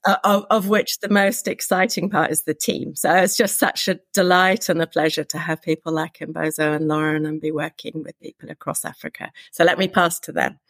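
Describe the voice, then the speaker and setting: A woman's voice: low-pitched, fairly even intonation, moderate pace, hardly any pauses, a bit bright, dry recording. A woman guest on a podcast, talking about her career in a reflective, enthusiastic way. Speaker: guest professor. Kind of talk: conversational podcast answer.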